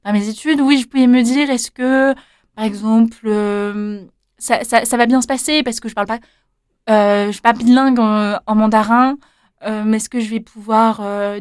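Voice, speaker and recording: A woman's voice: a little high, clear, resonant tone, dry recording, studio quality. A young woman answering interview questions casually, hesitant, with many fillers and restarts. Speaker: interviewee, former volunteer.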